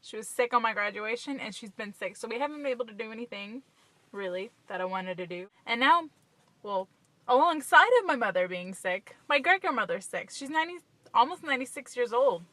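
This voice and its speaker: Bright-voiced young American woman, chatting casually. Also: on the high side, lively intonation, a little fast, no echo. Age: 20s. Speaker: vlogger. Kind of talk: casual chat to camera. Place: inside a parked car at night. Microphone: phone at arm's length.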